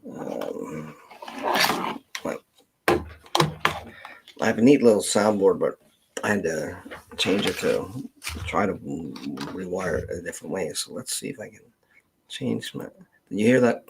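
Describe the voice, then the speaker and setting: Low gravelly man muttering through livestream setup. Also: lively intonation, takes it slowly, pauses often, background noise. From Canada. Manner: distracted muttering, thinking aloud while fiddling with equipment. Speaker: livestream host, genealogist. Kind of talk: casual livestream chat.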